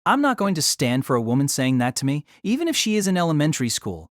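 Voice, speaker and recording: A man's voice: on the high side, very animated, fast talker, no echo, studio quality. An actor playing a wry, exasperated young man, delivering wordy first-person story narration and dialogue in a deliberating, self-conscious way. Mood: indignant, placating.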